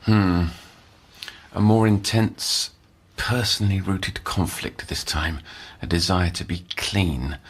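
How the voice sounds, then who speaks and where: A very low, husky voice for a grave psychiatric counsellor in an audio drama. He is analytical and formal, weighing his phrases in a measured clinical manner. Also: exaggerated voice.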